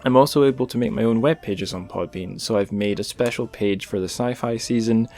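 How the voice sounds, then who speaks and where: A male podcast host with a fairly low voice, easygoing and a little rambling as he chats. He slips in dry little jokes. Faint music sits in the background. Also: brisk. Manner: relaxed, rambling, light joking. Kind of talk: casual podcast chat and plugs.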